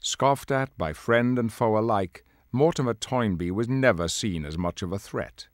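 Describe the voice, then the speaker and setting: A man's voice: lowish voice, moderate pace, no room sound, studio quality, close to an everyday voice. A man playing a grave, dignified leader of superhero mutants, delivering solemn, portentous dramatic narration for a video game. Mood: grave, measured. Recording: music under the voice. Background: music.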